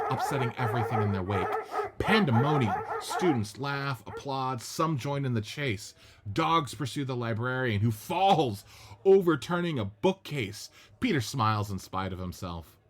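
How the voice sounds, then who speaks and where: A man narrating the stage directions of a script reading in a steady, matter-of-fact way. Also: mid-range voice.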